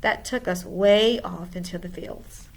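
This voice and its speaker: A woman's voice: fairly low pitch, a little slow. A woman reading a children's storybook aloud as narrator. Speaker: school administrator reading aloud.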